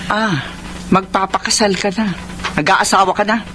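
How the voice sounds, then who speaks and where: A woman's voice: medium pitch, steady tone, normal speed, noisy background, lightly acted. A woman playing a bitter, demanding middle-aged mother in a radio drama, accusing and confrontational, full of reproachful questions. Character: bitter, demanding mother who guilt-trips and resents. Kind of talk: radio drama acting in Filipino.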